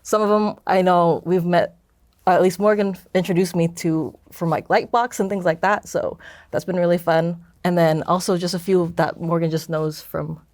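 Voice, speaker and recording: A woman with a slightly low voice, recorded dry and close, speaks as a co-creator in a casual interview. She explains in loose, running lists sprinkled with "like". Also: fairly even intonation, normal speed, darkish tone, dry recording. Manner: loose, listing way of explaining with frequent "like". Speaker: co-creator of an audio drama.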